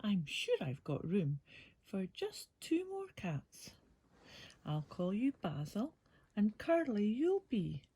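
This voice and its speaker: A kindly storybook cat lady, played by a woman reading aloud, very breathy and affectionate. She speaks in a welcoming sing-song, with pitch that leaps about and a leisurely pace. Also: big pitch swings, slow pace, mellow, no echo, heavily acted. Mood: doting, welcoming. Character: kindly, doting woman who lives with many cats. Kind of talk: bedtime storybook character reading.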